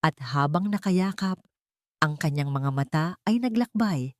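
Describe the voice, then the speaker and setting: A woman's voice: low voice, animated, unhurried, no room sound, clean studio sound. A woman storyteller reading scripted, dramatic fiction as narration.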